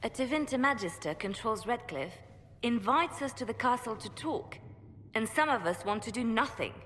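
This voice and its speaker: A woman voicing a resolute, impatient war-council advisor in a video game, arguing forcefully. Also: animated, moderate pace. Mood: urgent, insistent. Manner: forceful, argumentative. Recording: hall reverb, faint rumbling noise.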